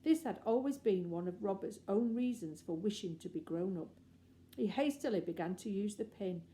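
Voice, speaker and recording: A woman's voice: mid-range voice, lively intonation, normal speed, dark tone, rough recording. A woman reading a children's storybook aloud as scripted narration. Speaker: audiobook reader.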